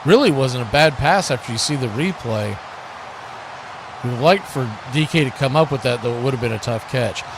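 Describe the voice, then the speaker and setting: A man's voice: medium pitch, lively intonation, normal speed, noisy background. An American man calling football play-by-play for radio, hosting in clipped announcer phrases. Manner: announcer delivery describing action in clipped phrases. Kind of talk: live American football commentary and station promos.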